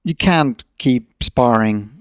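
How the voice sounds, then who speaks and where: A man's voice: mid-range voice, takes it slowly, clear, resonant tone, no room sound, clean studio sound. A middle-aged man talking in a casual, reflective and blunt way as he hosts a podcast conversation.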